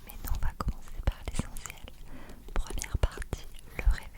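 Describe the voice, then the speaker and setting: A woman whispers throughout in a breathy, voiceless hush. Her delivery is soft and intimate, in the manner of an ASMR creator reading or chatting quietly to a listener. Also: moderate pace. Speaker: ASMR content creator reading a book aloud.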